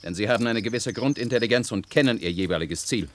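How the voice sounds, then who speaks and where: Robot servant, male actor: lower register, deferential yet defiant, radio drama, audible background noise. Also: fast, close to an everyday voice. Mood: confident. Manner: earnest, deferential, addresses his boss respectfully. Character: eager, loyal, defiant male robot servant, proud of his family. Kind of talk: German science-fiction radio drama acting.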